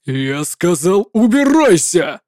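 A woman's voice: on the low side, lively intonation, slow pace, darkish tone, no room sound, clean studio sound, pitched below the performer's own voice. A woman voicing an enraged male paladin who growls and threatens. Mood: menacing.

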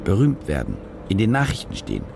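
An actor playing a cynical, desperate German war reporter in a radio drama, muttering and brooding as if to himself. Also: male voice, lowish voice, wildly swooping pitch, brisk, gravelly, music in the background, full character voice. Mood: darkly brooding. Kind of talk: radio drama acting, interior monologue.

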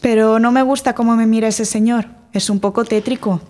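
A woman's voice: a little high, fairly even intonation, a little fast, a little mellow, lightly acted. A woman acting a sceptical, complaining girlfriend in an audio drama, with a dry, sarcastic manner.

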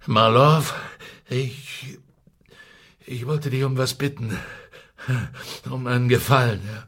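A desperate Soviet secret police chief in a radio play. His voice is of middling pitch. He speaks with fear and intensity, halting as if cornered. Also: steady tone, fairly bright tone. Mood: desperate, confiding. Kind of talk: radio drama dialogue.

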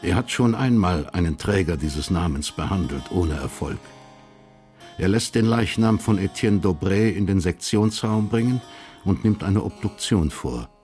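A man with a low voice narrates a period crime play. His delivery is measured, sober and literary, with faint music in the production. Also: lively intonation, darkish tone. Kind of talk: scripted narration of a radio crime drama.

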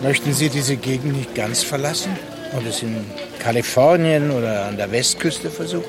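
A male actor plays a seasoned seafarer telling a friend's story in a literary radio play. His delivery is reflective and measured, in a medium-pitched male voice. Music plays clearly behind him. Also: natural voice. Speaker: narrator.

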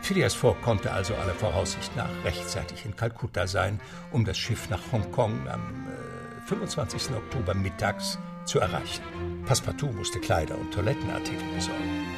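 A man's voice: lowish voice, expressive, moderate pace, vocal fry, over loud music. A man narrating an adventure radio drama as a composed storyteller with scripted delivery. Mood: composed, dramatic.

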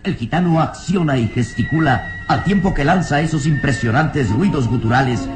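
A man's voice: a little high, moderate pace, over music, close to an everyday voice. A man acting as the solemn, suspenseful narrator of a dramatic radio serial, with theatrical delivery. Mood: tense, solemn.

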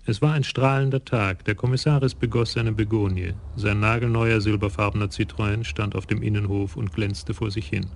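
A man narrating a radio crime drama in an even, measured storytelling manner. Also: lowish voice, creaky, background noise.

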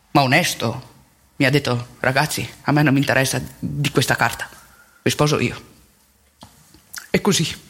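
Woman playing a husky former priest. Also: low voice, fairly bright tone, full character voice. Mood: tender, regretful. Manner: halting, emphatic repetition, colloquial. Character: ageing former priest, wounded, candid, plain-spoken man. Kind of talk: theatrical monologue, intimate confessional storytelling.

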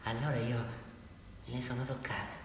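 An actor playing a disturbed, childlike male criminal in a radio drama, rambling and halting through his confession in a southern Italian accent, swinging between hushed and loud. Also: medium pitch, little pitch movement, a little hiss or hum, natural voice. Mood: dreamy, tender. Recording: distant, very echoey reverberant hall.

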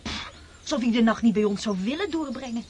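An actress playing a spirited, impulsive teenage girl in a radio drama, excitable, flippant and girlish. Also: a little high, brisk, close to an everyday voice. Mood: piqued. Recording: noisy old radio drama recording.

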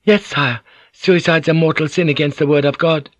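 A man performs a Japanese noblewoman serving as interpreter in a historical audiobook. The voice is lifted high, polite and hesitant. An anxious deference runs through it, in a dry recording. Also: steady tone, moderate pace, no echo, close to an everyday voice. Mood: polite, puzzled.

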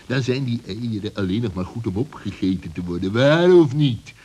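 A man voicing a sly, greedy fox in a children's radio play, with a husky, menacing and mocking manner. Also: medium pitch, animated, moderate pace, darkish tone. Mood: gloating, threatening. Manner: menacing, mocking, swooping delivery with chuckles. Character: sly, greedy, bullying male fox villain.

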